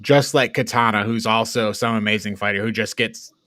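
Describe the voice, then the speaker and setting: A man's voice: lowish voice, steady tone, a little fast, bright, forceful tone, no echo, studio quality. A man co-hosting a review show, chatting and reacting informally with a note of exasperated banter. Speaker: co-host of a film review show. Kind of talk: casual chat, reacting and commenting.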